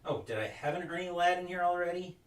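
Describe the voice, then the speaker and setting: A man's voice: high voice, expressive, fast talker, distant mic. A young adult man chatting casually while unboxing and sorting wrestling game cards at home, rambling and reading names aloud as he goes. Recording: roomy, off-mic sound.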